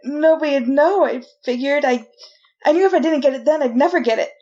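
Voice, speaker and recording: A woman acting a frightened condemned prisoner in a retro radio drama, pleading innocence in a halting, folksy way. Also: high-pitched, dark tone, muffled recording, natural voice. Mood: defensive, shocked.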